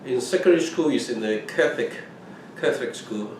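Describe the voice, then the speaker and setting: A man's voice: medium pitch, lively intonation, a little fast, a little mellow. An older man from Hong Kong, whose first language is Fujianese, recalling his early life in an oral history interview, with many restarts and repeated words. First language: Fujianese (Minnan). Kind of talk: casual recollection. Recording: echoey room, mic at a distance, faint noise.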